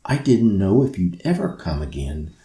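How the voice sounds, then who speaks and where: A man's voice: deep voice, sing-song, normal speed, dark tone, roomy sound. A man voicing an earnest, eager young girl in a children's bedtime story. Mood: hopeful. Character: Thompson, earnest young girl, frustrated, eager, full of wonder.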